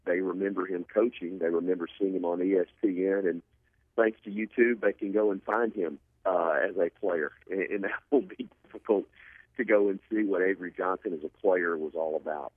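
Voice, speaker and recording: A man's voice: low voice, fairly even intonation, brisk, dry recording. A man giving emphatic sports analysis as an interview guest, often repeating a point to drive it home. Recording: narrow phone-like line.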